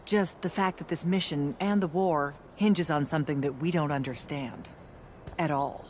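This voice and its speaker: An actress playing a steady, frank battlemage commander, speaking in an earnest, direct way in a serious video game cutscene. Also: female voice, low-pitched, normal speed, a little hiss or hum, close to an everyday voice. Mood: grave.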